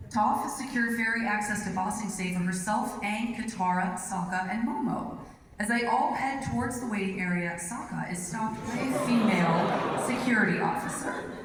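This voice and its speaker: Echoey higher-voiced woman narrating on stage. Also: normal speed. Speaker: panel host and narrator. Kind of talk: scripted narration read live on stage. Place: large convention hall stage. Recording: distant, echoey hall, loud background noise.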